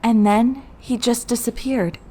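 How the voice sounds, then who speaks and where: Sweet earnest young woman, kinda high voice, game cutscene acting. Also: steady tone, unhurried, dark tone. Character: gentle, earnest young woman remembering her village.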